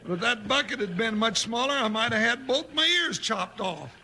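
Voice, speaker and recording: A comic cowboy sidekick from a vintage radio western, high and gravelly in voice, with a folksy Western drawl. He is excitable and talkative, exclaiming in a bright, piercing tone over faint old-recording noise. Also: moderate pace, heavily acted. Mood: comic grumbling. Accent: American Western drawl. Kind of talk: 1950s radio western acting.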